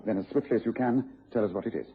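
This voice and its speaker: An assured English gentleman detective for vintage radio. He talks quickly and incisively in a very husky voice, over a thin, narrow-band recording. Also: lowish voice. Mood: serious. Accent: English Received Pronunciation. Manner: precise, incisive, formal. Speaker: actor playing lead detective in radio drama. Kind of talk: BBC radio drama acting. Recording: muffled tinny old radio recording.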